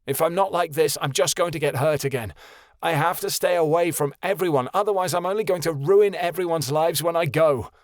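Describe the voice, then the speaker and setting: A man voicing a grieving teenage son who shouts bitterly at his father. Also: fairly high pitch, expressive, moderate pace, no echo, clean studio sound, voice pushed up, natural voice. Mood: furious.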